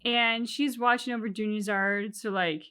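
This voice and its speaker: A woman's voice: medium pitch, fairly even intonation, moderate pace, bright, forceful tone, clean tone. A woman co-hosting a reaction show, talking casually and reflectively through her opinions.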